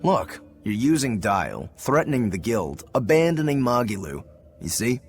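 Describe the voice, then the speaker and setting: Wry questioning sidekick, very up n down delivery, game cutscene, faint music. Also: male voice, mid-range voice, very animated, measured pace. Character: wry, doubtful male companion of an outlaw. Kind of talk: video game voice acting.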